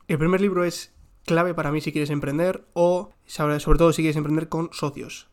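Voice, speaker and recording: Joking, slangy man reviewing books. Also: fairly high pitch. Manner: joking, slangy, swears casually. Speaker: book reviewer. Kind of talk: casual book recommendations. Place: home with some room echo. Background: construction work next door.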